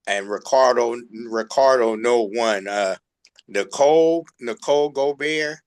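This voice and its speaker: A man chatting casually as a show co-host, with drawn-out, hesitant phrasing. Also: medium pitch, smooth, no room sound. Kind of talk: casual chat.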